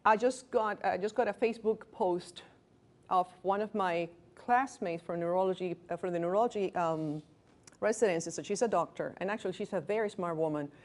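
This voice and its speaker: A woman in her late thirties from Venezuela with a Venezuelan Spanish accent. She is a physician giving a training talk to a small group in a room, candid and self-deprecating with joking asides, and she often restarts words. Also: medium pitch. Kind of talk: lecture to a workplace group.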